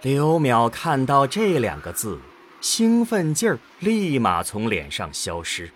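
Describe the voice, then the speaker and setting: A man's voice: mid-range voice, wildly swooping pitch, takes it slowly, slight background noise. A man narrating a scripted science-fiction audio drama in a dramatic storytelling style. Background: faint background music.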